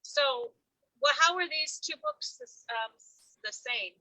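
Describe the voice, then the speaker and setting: A woman hosting a casual book discussion, talking in an animated way and acting out bits of the story. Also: very high-pitched, measured pace, vocal fry, no echo, low-quality recording. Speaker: host, discussion leader.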